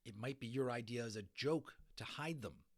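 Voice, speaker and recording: A man's voice: medium pitch, normal speed, natural voice. A man voicing a fretful, defensive schoolboy squabbling in a storybook, with a whiny manner. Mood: accusing.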